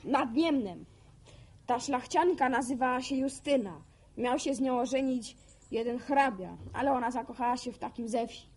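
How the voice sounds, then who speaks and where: A woman's voice: high-pitched, moderate pace, darkish tone, husky. A woman playing an eager, bookish village farm boy in a radio drama, with rustic colloquial speech. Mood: earnest. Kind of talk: naturalistic Polish radio drama acting.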